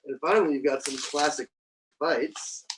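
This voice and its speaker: A man's voice: fairly high pitch, moderate pace. A man chatting casually as a guest, reading out finds and commenting on them. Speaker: guest helping open film reels. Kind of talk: casual chat. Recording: distant mic with faint noise, clicks.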